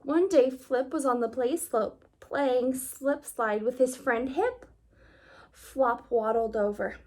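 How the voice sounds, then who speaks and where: A woman reading a children's story aloud as narrator, expressively, with deliberate phrasing for young listeners. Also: high voice, darkish tone.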